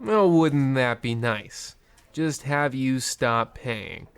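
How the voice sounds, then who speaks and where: A smug, self-absorbed banker played by a comic actor in a radio comedy, recorded close and dry. The delivery is pompous and patronizing, at a middling pitch, dripping with sarcasm and callous disregard. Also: expressive, takes it slowly, clean tone, dry recording. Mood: sarcastic. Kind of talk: old-time radio comedy acting.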